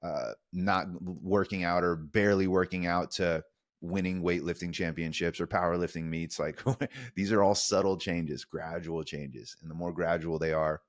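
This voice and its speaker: A young man with a very low voice giving earnest, coaching advice on a podcast. He keeps it casual and calls listeners "dude", recorded dry on a close mic. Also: steady tone, normal speed, dry recording. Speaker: podcast co-host.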